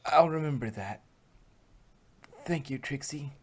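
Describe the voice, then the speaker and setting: A man voicing a soft-spoken, earnest and remorseful male pony in a story reading. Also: mid-range voice, a little slow, a little hiss or hum, lightly acted. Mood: remorseful, grateful.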